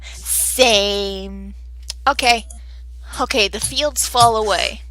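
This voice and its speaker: A woman's voice: very slow, dry recording. A young woman leading a casual fan comic reading with friends, bubbly and playful. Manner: bubbly, playful, lively swings in pitch. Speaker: project organizer and reader.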